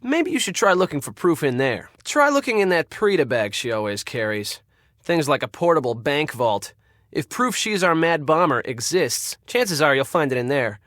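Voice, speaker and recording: An actor playing a bossy, irritable and secretly crooked spa manager in a video game, curt and sarcastic. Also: male voice, fairly high pitch, big pitch swings, normal speed, a bit bright, no echo, clean studio sound. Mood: conspiratorial, insistent. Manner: curt, sarcastic, barking orders.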